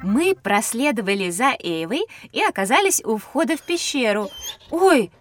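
A woman playing an attentive, inquisitive schoolgirl who speaks clearly and earnestly in a children's educational audio drama. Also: fairly high pitch, big pitch swings, moderate pace, faint music behind. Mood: narrating.

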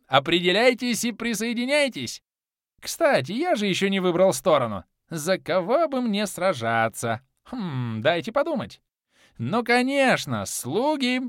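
A shrill, manic mad god. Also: male voice, very animated, a little slow, fairly bright tone, no room sound, clean studio sound, exaggerated voice. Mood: manic glee. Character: mad cackling male deity, gleeful and childish.

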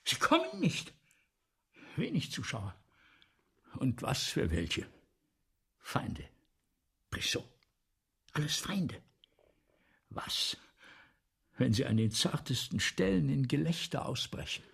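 An actor playing an agitated, grandiose and paranoid playwright in a German radio play, declaiming fretfully and repeating himself. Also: male voice, medium pitch, wildly swooping pitch, takes it slowly, vocal fry, pauses often, fairly bright tone, slightly rough, heavily acted. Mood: paranoid, despairing. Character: agitated, self-pitying playwright, grandiose and paranoid before his premiere.

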